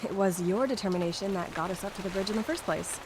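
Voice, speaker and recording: A woman's voice: medium pitch, fast talker, background noise, close to an everyday voice. A woman playing a bold, determined young hiker in an amateur audio drama. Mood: concerned. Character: bold, determined young woman, peacemaker among hiking friends.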